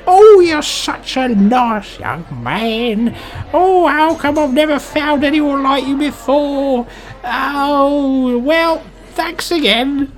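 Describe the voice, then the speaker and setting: Someone putting on a gushing high-pitched needy woman. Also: slow, faint music behind. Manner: gushing, whiny, theatrical. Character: needy, flirtatious, melodramatic woman on a date.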